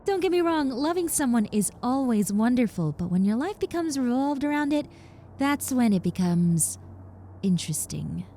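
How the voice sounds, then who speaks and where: Woman playing a soft, high-voiced, nervous young roommate. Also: sing-song, normal speed, slight background noise. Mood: earnest, reassuring. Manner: hesitant, earnest, stammering and reassuring. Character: nervous, apologetic young woman, reformed obsessive yandere roommate. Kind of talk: roleplay audio drama, conversational monologue to the listener.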